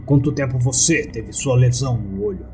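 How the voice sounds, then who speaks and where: Man playing a calm paramedic, dark-toned voice. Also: mid-range voice, normal speed, faint music behind, close to an everyday voice. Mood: concerned. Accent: Brazilian. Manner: reassuring, inquisitive. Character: calm male paramedic questioning an injured boy.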